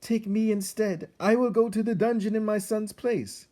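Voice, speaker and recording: A man's voice: high-pitched, fairly even intonation, moderate pace, warm tone, pitched above the performer's own voice, lightly acted. A man voicing an anguished, theatrical mother mouse in a storybook, pleading and exclaiming in an overwrought way.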